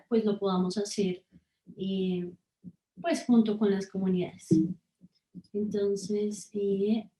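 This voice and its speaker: A woman's voice: medium pitch, dark tone, echoey room, low-quality recording. A woman researcher leading an online workshop, speaking hesitantly with many fillers over a video call. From Colombia.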